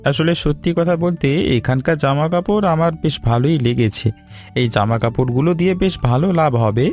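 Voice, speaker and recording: A businesslike cloth buyer acted by a man in a cartoon tale, polite and matter-of-fact. His voice sits fairly high for a man, with faint music underneath. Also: a little fast, a little mellow, lightly acted. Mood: polite, approving. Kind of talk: Bengali animated story dialogue.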